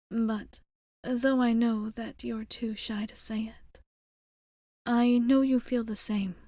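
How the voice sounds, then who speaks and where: A woman playing a tender, old-souled vampire bartender in a whispered ASMR roleplay, speaking softly, deliberately and a little formally, with affection. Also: on the high side, dry recording, studio quality. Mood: gentle, reassuring. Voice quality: soft, breathy whisper.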